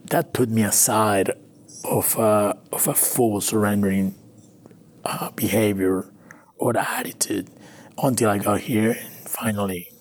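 A man from Venezuela, a native Spanish speaker with a Latin American accent, telling his recovery story haltingly in an interview. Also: fairly low pitch, steady tone. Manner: halting, with frequent restarts and fillers. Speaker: interview guest. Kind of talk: personal recovery story, conversational.

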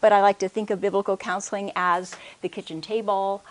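Quiet-voiced woman talking at a church workshop, halting, restarts a lot, mic in hand. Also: medium pitch, little pitch movement, slight background noise, low-quality recording. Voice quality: soft-spoken. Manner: hesitant, with many restarts and "okay?" check-ins. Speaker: workshop speaker. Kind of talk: conference workshop talk. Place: conference room. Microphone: handheld or stand microphone.

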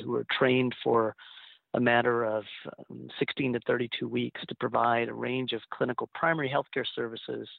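A man in his thirties, from Liberia, a physician guest giving measured, explanatory interview answers over a phone line. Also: medium pitch, vocal fry, dry recording. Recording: dry tinny phone line.

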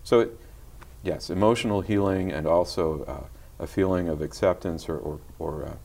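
A middle-aged man talking in a casual interview as a guest songwriter, gentle and hesitant, often restarting his sentences. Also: deep voice, normal speed. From Virginia, USA. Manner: soft-spoken, hesitant with many restarts.

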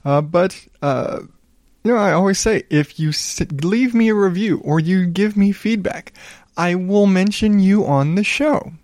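Calm, rambling podcast host man. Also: high-pitched, measured pace, dry recording, clean studio sound. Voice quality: calm smooth voice. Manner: rambling, self-deprecating, reads reviews aloud. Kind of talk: casual solo podcast monologue.

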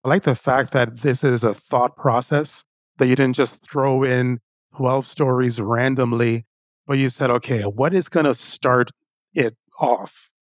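A man's voice: mid-range voice, steady tone, a little slow, no echo, studio quality. A man hosting a podcast interview in a conversational style. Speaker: podcast host.

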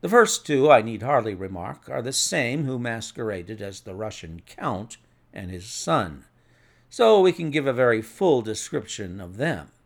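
A man voicing a cool, methodical detective in an audiobook, measured, precise and authoritative. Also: medium pitch, big pitch swings, vocal fry, heavily acted. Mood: assured, explanatory. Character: cool, methodical male detective, assured and analytical.

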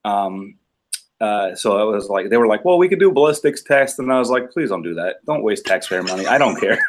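A man's voice: on the low side, animated, fairly quick, noisy background. A man, a police officer guest on a podcast, telling a story in a loose, rambling way with many fillers. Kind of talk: casual chat.

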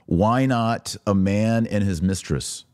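Low-voiced man hosting a live podcast. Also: slow, dry recording, clean studio sound. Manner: informal, opinionated, emphatic. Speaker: podcast host. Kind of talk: live commentary, reading listener chat comments.

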